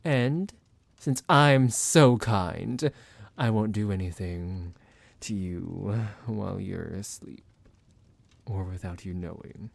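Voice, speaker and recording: A man voicing a possessive, condescending vampire doctor in an ASMR roleplay, speaking in a measured, formal, menacing and softly commanding way. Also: low voice, creaky, no echo, exaggerated voice. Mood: smug, commanding.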